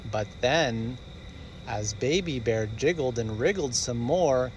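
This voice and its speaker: Animated, slow-paced male storyteller. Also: mid-range voice, slight background noise. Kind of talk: expressive children's story read-aloud, rhyming narration.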